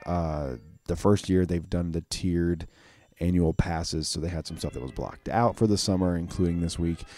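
A man hosting a casual solo podcast in a rambling, enthusiastic way, his voice sounding lower than usual. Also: warm tone. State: voice sounding unusually low today. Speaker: podcast host.

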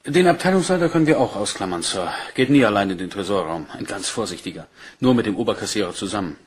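A man's voice: lowish voice, expressive, fast, breathy edge. A man acting a dutiful, matter-of-fact police inspector in a German crime radio play, polite and orderly in his delivery. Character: dutiful, matter-of-fact male Scotland Yard detective inspector.

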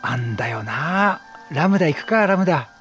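A middle-aged man giving excitable, casual let's play commentary, reacting chattily and repeating his phrases. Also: fairly high pitch, normal speed. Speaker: gaming streamer. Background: game music.

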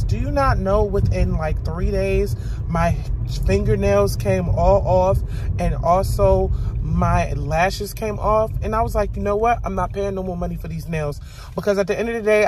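A woman's voice: medium pitch, noisy background. A young woman vlogging from her car, chatting bluntly and loosely with plenty of profanity. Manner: rambling, blunt, profane, animated. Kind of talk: casual car vlog chat.

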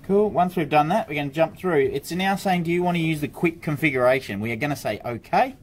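A man's voice: on the high side, fairly quick. A man giving a casual hands-on product tutorial, informally talking through each step as he works at an office desk. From Australia. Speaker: product demonstrator for an intercom supplier. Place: indoor office desk area. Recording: clear background noise, room ambience.

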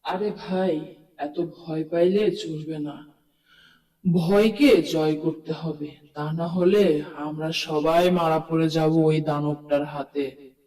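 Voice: mid-range voice, steady tone, slow, dark tone, natural voice. A performer voicing a brave, earnest cartoon townsman with pleading emphasis, in a distant recording with long reverb. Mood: insistent. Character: brave, earnest townsman rallying his frightened friends. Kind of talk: cartoon story dialogue.

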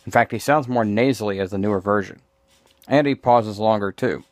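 A man talking off the cuff as he explains and demonstrates, in a halting, meandering, opinionated way. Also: on the low side, no echo. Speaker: vlogger demonstrating screen reader voices. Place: small room indoors. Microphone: handheld phone, later lying on desk.

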